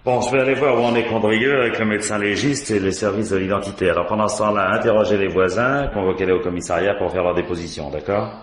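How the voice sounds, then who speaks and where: An actor playing a gruff, curt police commissioner questioning people in a French radio mystery, in an impatient, colloquial way. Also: male voice, on the low side, fast talker, few pauses, slight background noise, lightly acted. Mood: calm, firm. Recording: echoey room radio drama recording.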